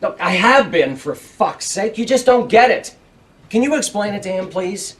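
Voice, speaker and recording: A man's voice: medium pitch, big pitch swings, normal speed, natural voice. An actor playing an angry, foul-mouthed, defensive man who argues in exasperation, in a naturalistic drama. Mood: furious, loud. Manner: swearing, combative, exasperated. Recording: distant microphone.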